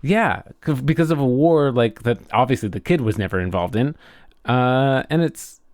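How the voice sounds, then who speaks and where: A man chatting casually and reflectively as a podcast co-host, often halting as he searches for words. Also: medium pitch, expressive, a little mellow, no echo, studio quality.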